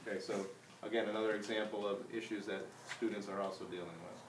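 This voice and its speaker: A man's voice: lowish voice, steady tone, quick pace. A man teaching a class, reading a story aloud and putting questions to his pupils. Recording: roomy distant mic with background noise.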